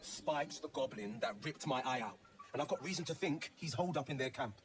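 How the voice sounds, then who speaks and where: An actor playing a vengeful, hot-tempered adventurer in a video game, gruff and emphatic. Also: male voice, medium pitch, animated, fairly quick, lightly acted. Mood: angry, determined. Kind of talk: video game character acting.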